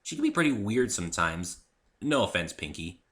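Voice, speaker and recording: A man's voice: on the low side, very animated, normal speed, a bit bright. A man voicing a brash, sporty, boyish female cartoon pegasus with a blunt, cocky manner in a story reading. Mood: wary, relenting.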